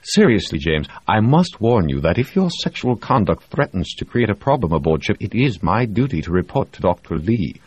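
A man's voice: on the low side, very animated, normal speed, few pauses, slightly rough, no echo, voice pushed up. A man voicing a sly, leering English ship's doctor who talks in a smug, teasing way. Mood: mock-serious. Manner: smug, teasing, with a crooked grin.

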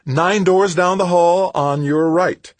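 A falsely cheerful middle-aged woman official from an audiobook, acted out by a man. The voice is lifted high and husky, lilting in a sing-song. The manner is sugary, pious and condescending, as if addressing a small child. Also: huge pitch swings, moderate pace, darkish tone, dry recording, full character voice. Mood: sweetly smug. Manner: sing-song, condescending schoolteacher tone, syrupy sweet. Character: plump fortyish woman bureaucrat, falsely cheerful, patronizing, pious. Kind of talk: audiobook character dialogue.

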